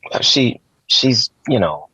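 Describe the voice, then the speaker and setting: A man at a table read playing an uneasy punk boyfriend in his twenties, hesitant, with a husky voice. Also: medium pitch, big pitch swings, slow pace, bright, forceful tone, dry recording, highs cut off, full character voice. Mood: uneasy, wary. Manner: hesitant, nervous. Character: uneasy, wary 26-year-old punk guitarist boyfriend.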